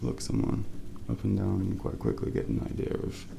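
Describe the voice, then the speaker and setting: A man speaking softly and breathily, often near a whisper, in a gentle, unhurried, reassuring ASMR role play as a late-night taxi driver. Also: low-pitched, big pitch swings, vocal fry, noisy background. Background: rain and car sounds.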